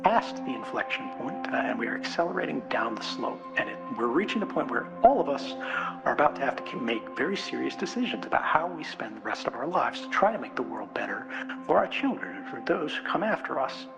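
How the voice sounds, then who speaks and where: A man's voice: medium pitch, sing-song, brisk, gravelly, low-quality recording. An American man giving an informal, unscripted public speech in a conversational, emphatic way, often checking in with rhetorical questions. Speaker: conference speaker. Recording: speech with music laid underneath. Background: music.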